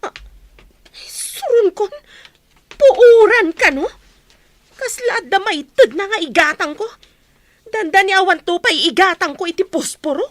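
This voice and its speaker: A woman's voice: very high voice, lively intonation, unhurried, long pauses, no room sound. A woman acting a scolding, resentful village wife in a radio comedy drama, nagging and sarcastic. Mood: furious.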